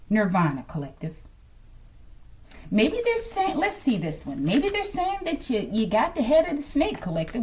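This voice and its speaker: A woman giving a casual tarot reading to her viewers, musing aloud and often ending phrases low as she addresses them. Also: darkish tone. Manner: musing, repeatedly addresses listeners as collective, trails off low at line ends. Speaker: tarot reader.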